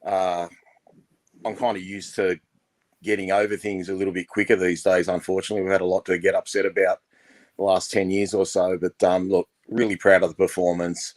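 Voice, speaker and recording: An Australian man co-hosting a podcast, with a hoarse, recovering voice and a relaxed, rambling way of talking, full of fillers. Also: sits low, fairly quick, no echo. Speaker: podcast co-host. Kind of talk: casual chat.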